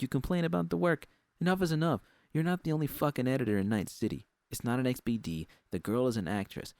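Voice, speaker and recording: A man's voice: mid-range voice, darkish tone, dry recording, pitched above the performer's own voice, lightly acted. A man voicing a bossy, exasperated woman gang boss in an audiobook story, scolding and swearing in a domineering way.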